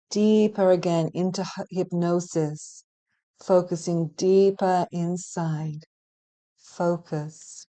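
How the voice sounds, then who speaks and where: Very mellow, slow-speaking woman guiding relaxation. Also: fairly low pitch. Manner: soothing, gentle, measured with long pauses. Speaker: hypnotherapy practitioner guiding meditation. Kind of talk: guided relaxation meditation, hypnotic scripted narration.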